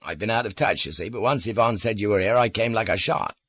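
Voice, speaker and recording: A man's voice: fairly low pitch, fast talker, fairly bright tone, dry recording, muffled recording. A man reading an audiobook as a blunt, sweary, hearty Devon man who is dismissive of everything, with a Devon accent. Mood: hearty reassurance. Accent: Devon English.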